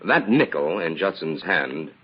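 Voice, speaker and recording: An actor playing a self-assured amateur detective in a 1940s radio drama, explaining in a measured way. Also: male voice, low voice, expressive, breathy edge, exaggerated voice. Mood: calm, assured. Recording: dull, muffled vintage radio recording.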